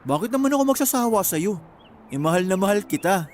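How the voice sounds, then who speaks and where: A young Filipino college boyfriend in a radio drama, with a high voice. He is coaxing and pushy, quick to sulk and sweet-talk his girlfriend. Faint music runs underneath. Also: animated. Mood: tender, reassuring. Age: young adult. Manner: coaxing, insistent. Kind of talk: Tagalog radio drama acting.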